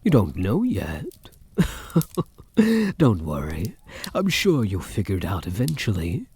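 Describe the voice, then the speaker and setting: A man playing a possessive yet tender vampire count in a soft-spoken ASMR roleplay, murmuring intimately and often dropping into whispers. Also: lowish voice, wildly swooping pitch, takes it slowly, slightly rough, exaggerated voice. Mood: gentle, reassuring.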